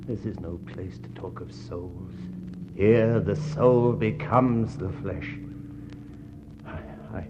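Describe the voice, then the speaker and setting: An actor playing a grave, desperate leader of a doomed Victorian polar expedition, halting and brooding in a 1960s radio play. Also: male voice, on the low side. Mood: anguished, desperate. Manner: halting, repetitive, brooding delivery. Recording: muffled, noisy 1960s radio recording.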